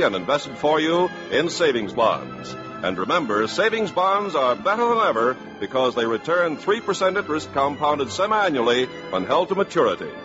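An American man announces in 1950s radio style, his fairly high voice rising and falling widely. The delivery is scripted and emphatic, on a dull old broadcast recording.

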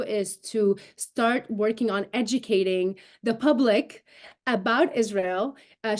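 A woman's voice: mid-range voice, darkish tone. A woman in her thirties from Syria, a native Arabic speaker, explaining things emphatically and articulately as an interview guest on a video call. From Syria, raised in Damascus. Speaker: interview guest, broadcast journalist and show host. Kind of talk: remote news interview, explanatory talk. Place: indoor room, video call.

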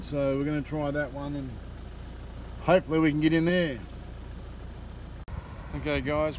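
Cheery Australian man filming outdoors. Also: a little high. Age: middle-aged. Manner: chatty, cheerful, cries of yeehaw. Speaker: vlogger. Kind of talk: casual narration to camera. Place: outdoors on a windy beach and in a car. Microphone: phone held at arm's length. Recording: loud wind noise on the phone mic.

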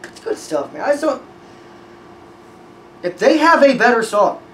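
A young man hosting a music reaction, talking casually with excited, profane, rambling praise. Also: very high-pitched, a little slow, far from the mic. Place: small room with red backdrop. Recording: echoey room, voice a bit off-mic, faint noise.

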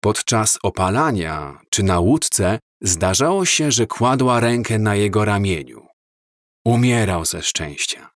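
A man narrating an audiobook story in a slow, measured, calm reading style. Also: fairly low pitch, no room sound, studio quality.

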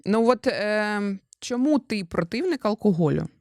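A woman's voice: mid-range voice, animated, unhurried, darkish tone, dry recording, clean studio sound. Playful chatty young woman host. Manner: playful, teasing, chatty, switches between Ukrainian and Russian words. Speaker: blogger hosting her husband. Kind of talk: casual teasing interview.

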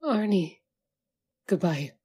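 A woman's voice: mid-range voice, sing-song, takes it slowly, warm tone, husky, heavily acted. A woman playing a frightened, devoted wife. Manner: pleading, gasping.

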